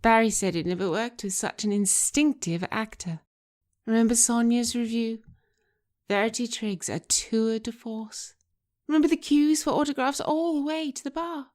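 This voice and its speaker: A woman acts out a sophisticated middle-aged lady agent in a radio-style script reading. Her voice sits high, and she is arch, theatrical and gushing, drawing out her words. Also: steady tone, moderate pace, a little mellow, natural voice. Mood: wistful, gushing. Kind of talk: dramatic reading of a screenplay.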